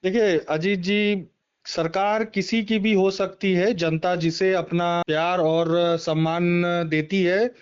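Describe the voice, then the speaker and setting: A high-voiced man speaks slowly and clearly on a muffled phone line. He talks politics as an interviewee, stressing his points and repeating words of agreement. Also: fairly even intonation. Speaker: political party member and former election candidate, interviewee. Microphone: phone call. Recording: dull, band-limited phone call recording.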